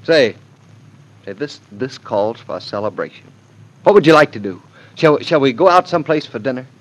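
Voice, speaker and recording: A man's voice: medium pitch, animated, normal speed, lightly acted, poor audio. An actor playing a young American husband and expectant father in 1940s radio drama style, affectionate and mock-stern, stammering a little. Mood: fond, eager.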